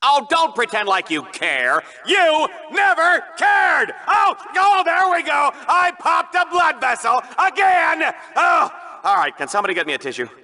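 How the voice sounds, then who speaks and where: A man's voice: extremely high pitch, very animated, a little slow, pushed and bright, raised voice, some chatter behind, full character voice. An actor playing a flamboyant, vain, manic male announcer in a video game, commentating in a hammy, mock-dramatic way. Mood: agitated. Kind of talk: over-the-top video game sports commentary.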